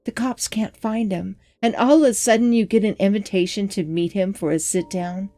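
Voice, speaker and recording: A woman's voice: medium pitch, steady tone, normal speed, dark tone, dry recording, clean studio sound, natural voice. A woman playing a worried, pleading young assistant newspaper editor in an audio drama, speaking earnestly and insistently. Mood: uneasy, insistent. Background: music bed.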